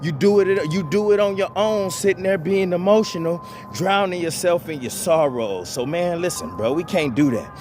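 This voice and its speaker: A man with a slightly raised pitch talks straight to his viewers in a blunt, profane motivational monologue. He repeats his points and keeps calling them "bro". Music plays clearly behind him. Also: normal speed, hardly any pauses, a little mellow. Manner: blunt, profane, repetitive, calls listeners "bro". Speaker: channel host giving advice.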